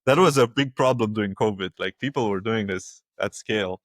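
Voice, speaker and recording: A man's voice: fairly low pitch, a little fast, dry recording, clean studio sound. A man hosting a podcast interview, talking loosely and conversationally with many fillers. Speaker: podcast host. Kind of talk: casual interview chat.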